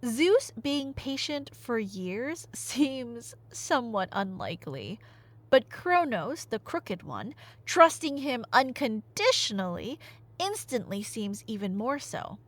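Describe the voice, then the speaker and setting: Animated, high-voiced woman storyteller, playfully teasing. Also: takes it slowly. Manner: playful, teasing, conversational with dramatic emphasis. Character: nerdy girlfriend excitedly retelling Greek myths. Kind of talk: animated casual storytelling roleplay to a listener, ASMR audio.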